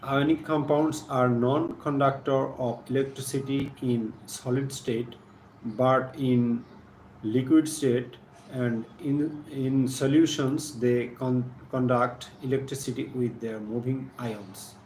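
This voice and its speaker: A man with a Bangladeshi accent giving a live chemistry lesson, partly reading aloud in a halting, deliberate manner, repeating phrases. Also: medium pitch, steady tone, darkish tone. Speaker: science teacher hosting a live stream. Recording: echoey room with faint background noise.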